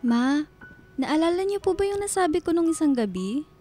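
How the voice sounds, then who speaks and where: High, soft-toned pleading schoolgirl. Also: big pitch swings, normal speed, faint music behind. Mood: gently pleading. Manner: polite, pleading, hesitant. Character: hopeful, timid schoolgirl daughter pleading with busy mother. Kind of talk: radio drama acting.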